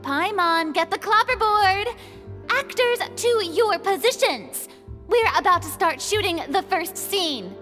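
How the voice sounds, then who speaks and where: A high, lively voice that swoops up and down, an eager young director who organizes everyone with brisk commands. A light reverb lingers on her words over faint music. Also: heavily acted. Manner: energetic and commanding, quick to organize. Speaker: film director.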